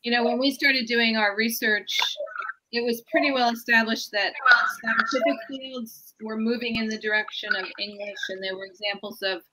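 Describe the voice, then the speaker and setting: A woman's voice: a little high, fairly even intonation, normal speed, very noisy. A woman academic answering interview questions on a video call, explaining fluently and thinking aloud as she goes. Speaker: author being interviewed.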